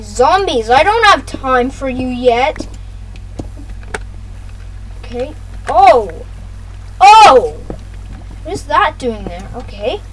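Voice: medium pitch, heavy background noise. A gaming video host giving casual play-by-play, repeating phrases and talking to viewers. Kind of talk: casual gameplay commentary.

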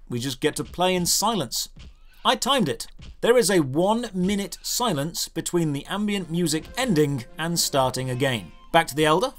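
A man's voice: fairly high pitch, fairly bright tone. A man doing scripted comedic game commentary, sarcastic and theatrical in his emphasis. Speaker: game reviewer and video host. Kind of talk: scripted comedic commentary over gameplay footage. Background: game background music.

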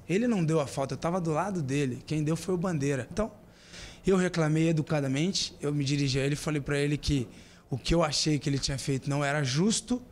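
A man's voice: fairly high pitch, a little mellow, a little hiss or hum. A man, a football player, answering questions at a press conference in an earnest, indignant manner. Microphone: desk mic.

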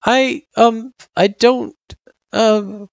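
A storyteller voicing a young girl, an eager but unsure pupil who speaks earnestly and haltingly in an audiobook-style story. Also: medium pitch, steady tone, creaky, no echo, dull audio, voice pushed up. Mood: hesitant, worried. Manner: hesitant, stammering, earnest.

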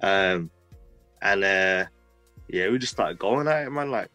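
Brief: young man, low and dry, loose rambling storyteller, faint music underneath. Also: expressive, unhurried, pushed and bright, dry recording, highs cut off. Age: 20s. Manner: rambling, heavy use of "like" fillers, street slang. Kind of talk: casual personal storytelling.

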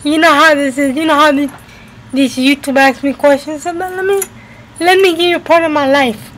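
High-pitched young woman chatting. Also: fairly even intonation, a little fast, fairly bright tone, a little hiss or hum, poor audio. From United States, New Jersey and Florida. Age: 20s. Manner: repeats phrases emphatically. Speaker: vlogger. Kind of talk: casual chat to camera. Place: indoors at home by a window. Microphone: webcam at arm's length.

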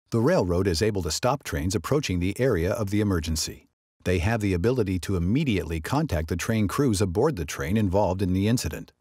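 A man reading scripted narration for a training video in a measured, instructional way. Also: fairly low pitch, a little mellow, no room sound, studio quality.

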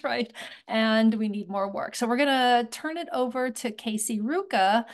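A woman moderating a webinar panel over a video call, briskly handing the floor to each speaker. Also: mid-range voice.

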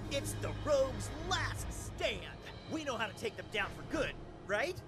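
A male actor voicing an eager, excitable teenage sidekick speedster in a video game. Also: very high voice, a little slow, full character voice. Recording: studio voice over game music.

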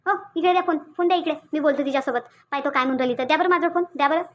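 A woman's voice: sits high, racing, lo-fi sound, lightly acted. A woman voicing a jealous, insecure young wife in a Marathi cartoon, speaking Vidarbha dialect and insistently repeating her demands. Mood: indignant, jealous. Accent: Vidarbha (Varhadi) Marathi. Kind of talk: Marathi cartoon story dialogue.

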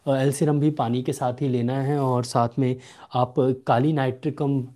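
A young male homeopathic doctor answering viewer questions in a live clinic, steady and instructive, slowing down to read questions aloud, recorded on a desk webcam in a small home room. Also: medium pitch.

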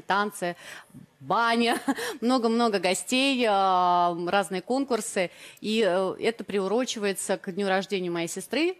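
A woman in her thirties, a guest on a TV dating show, answering hesitantly and searching for words. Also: medium pitch. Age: 30s. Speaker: bride candidate. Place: TV studio.

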